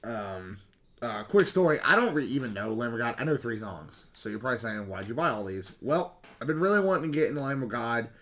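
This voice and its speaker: A man with a slightly hoarse voice chats casually and ramblingly as a video host showing off recent pickups. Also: mid-range voice, big pitch swings, a little fast, a bit bright. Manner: rambling, self-correcting, mild swearing. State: slightly hoarse, losing his voice. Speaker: YouTube video game collecting host.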